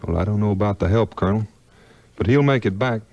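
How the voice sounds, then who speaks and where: A man acting a calm, folksy frontier hero with a Southern drawl in a 1950s record audio play. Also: fairly low pitch, vocal fry, dull audio. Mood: assured, wry. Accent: American Southern frontier drawl. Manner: unhurried, plainspoken, homespun.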